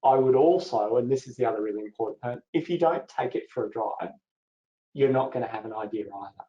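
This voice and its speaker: A man's voice: mid-range voice, quick pace. A man co-presenting a legal webinar, explaining points steadily and steering the session. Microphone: video call. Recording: dull echoey webinar audio.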